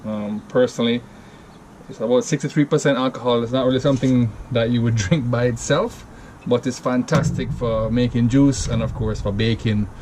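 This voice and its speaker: A man's voice: medium pitch, fairly even intonation, moderate pace, darkish tone, a little hiss or hum. A man from Jamaica with a Jamaican accent, casually talking through a drink recipe in a rambling, self-correcting way. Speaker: home cocktail vlogger. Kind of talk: casual step-by-step recipe demo.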